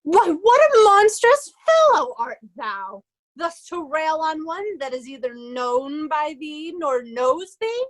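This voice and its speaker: A woman's voice: high voice, big pitch swings, slow, heavily acted. A woman playing a haughty, cowardly male steward in Shakespearean reader's theatre, affronted and theatrical. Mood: indignant.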